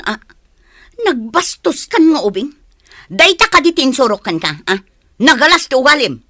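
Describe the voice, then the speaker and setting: A woman's voice: mid-range voice, lively intonation, normal speed, fairly bright tone, no echo, studio quality, natural voice. A woman playing a stern, exasperated village mother who scolds, in an Ilocano radio drama. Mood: angry scolding.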